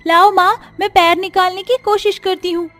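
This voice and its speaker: A very high, plaintive young village girl in a cartoon tale. She sounds distressed and pleading. Music runs behind her voice. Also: fairly even intonation, normal speed, bright, forceful tone. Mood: worried. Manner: plaintive, crying out.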